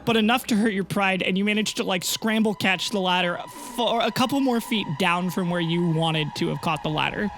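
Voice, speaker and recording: High-pitched man running a tabletop game. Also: fairly quick, few pauses, a bit bright, a little hiss or hum. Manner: casual, conversational improvised narration. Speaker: game master. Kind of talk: narrating a tabletop fantasy game. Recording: music playing behind the voice. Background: background music.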